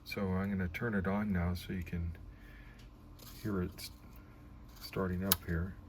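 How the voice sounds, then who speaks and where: A man giving a casual hands-on demonstration, halting and unhurried as he thinks aloud. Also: deep voice, level tone. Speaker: vlogger restoring an old radio. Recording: clear background noise.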